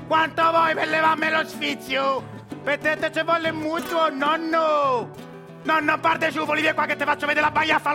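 A man with a Roman accent playing a cheeky, lecherous old grandfather who drawls and shouts bawdy taunts. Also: extremely high pitch.

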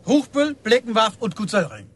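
An actor playing a dutiful village police sergeant, clipped, official and deferential, in a radio drama with faint ambience. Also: male voice, high voice, wildly swooping pitch, normal speed, a little hiss or hum. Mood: dutiful, stiff.

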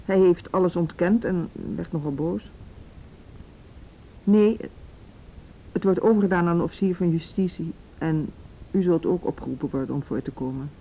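An actress as a mellow, composed Dutch policewoman. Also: moderate pace, pauses often, dull audio, close to an everyday voice. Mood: businesslike. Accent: Netherlands Dutch. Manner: courteous, composed, official. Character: calm, businesslike policewoman making phone calls. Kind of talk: radio drama acting. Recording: noisy radio drama recording, low rumble.

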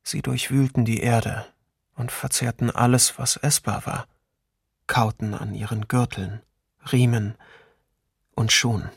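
An actor playing a male eyewitness in a documentary radio play, soberly recounting wartime atrocities in measured narration. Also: medium pitch, level tone, vocal fry, husky, dry recording, clean studio sound, full character voice. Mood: sober, grave.

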